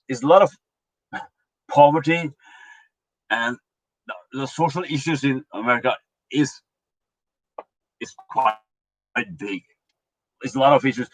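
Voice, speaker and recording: A man's voice: mid-range voice, a bit bright, no echo, low-quality recording. A man from Punjab hosting a casual commentary, hesitant, with many fillers and restarts. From Punjab, India. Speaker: host.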